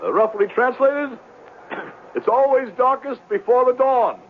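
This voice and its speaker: A man's voice: extremely high pitch, a little slow. An actor playing a grandiloquent, down-on-his-luck con man who poses as a doctor in a 1970s radio drama, speaking in a florid, theatrical way. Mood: loftily declaiming. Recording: tinny, noisy 1970s radio recording.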